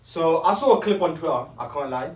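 A man's voice: a little high, animated, brisk. A British man in his twenties hosting a reaction video, chatting in slangy, disbelieving tones. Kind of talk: casual chat. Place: living room sofa. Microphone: far camera. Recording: echoey room, distant camera mic.

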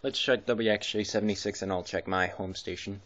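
A man's voice: fairly low pitch, steady tone, fairly quick, a bit bright. A man giving casual, rambling running commentary as a radio hobbyist, often hesitating and correcting himself. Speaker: amateur weather radio hobbyist and vlogger. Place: indoors in a room at home. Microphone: handheld camera mic, speaker behind the camera. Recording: muffled camera audio with background hiss.

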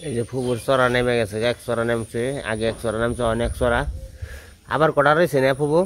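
A man talking casually from behind a handheld camera in a village yard, chatty and full of questions. His voice sits at an ordinary pitch, with a faint wash of birds and insects behind it. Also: steady tone, brisk, a little hiss or hum. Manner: chatty, asks relatives questions. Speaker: vlogger filming village work.

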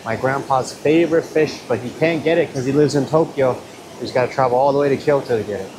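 A man's voice: medium pitch, fast, noisy background. A man in his thirties vlogging restaurant meals at arm's length, upbeat and savoring each bite, calling out to his viewers. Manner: enthusiastic, keeps saying "guys", savoring with hums. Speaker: food vlogger. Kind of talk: casual food vlog narration. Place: restaurants in Kyoto, indoors and riverside outdoors. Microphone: handheld camera at arm's length.

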